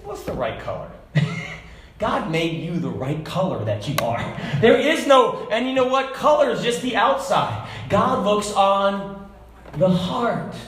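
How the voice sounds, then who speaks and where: A man preaching a sermon to a congregation, talking casually and then building to loud, fervent shouts. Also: sits high, big pitch swings, slow pace, slight background noise. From Hong Kong. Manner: conversational preaching, rising to shouts. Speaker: pastor. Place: church sanctuary. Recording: echoey church room.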